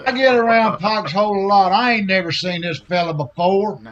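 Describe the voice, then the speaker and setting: A man playing a rough, suspicious cowboy in a radio western, folksy and accusing, recorded a little dully. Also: high voice, moderate pace, fairly bright tone, lo-fi sound. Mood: accusing, mocking.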